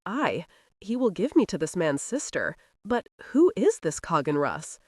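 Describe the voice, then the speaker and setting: Audiobook: female narrator as a defiant girl posing as a boy, low and lively, dry mic. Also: a little slow, no echo. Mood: puzzled. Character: young woman disguised as a boy, defiant.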